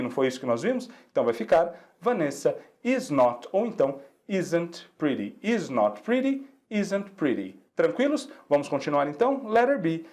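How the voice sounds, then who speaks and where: A Brazilian man with Portuguese as his first language, teaching an English lesson and patiently repeating each phrase slowly so students can drill it. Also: on the high side, darkish tone. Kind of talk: classroom lesson explaining exercises.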